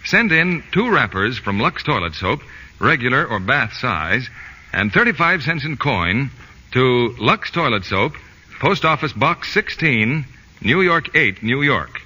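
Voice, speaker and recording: A man's voice: mid-range voice, sing-song, unhurried, bright, forceful tone, breathy edge. A man announcing an advertisement in 1940s radio style. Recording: dull old radio recording, long reverb.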